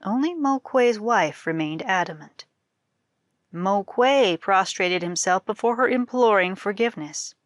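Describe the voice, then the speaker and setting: A woman storyteller reading a folktale aloud with expressive narration, as for a library audience. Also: medium pitch, measured pace, no echo, clean studio sound.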